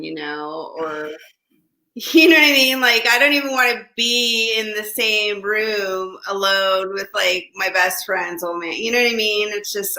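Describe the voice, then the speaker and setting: Bright-voiced woman hosting a casual chat. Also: medium pitch, low-quality recording. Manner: chatty, swears casually. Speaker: host.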